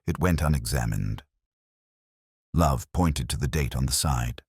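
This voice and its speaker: A man's voice: very deep voice, expressive, unhurried, a little mellow, husky, no room sound, clean studio sound. A man reading scripted narration for a detective mystery story.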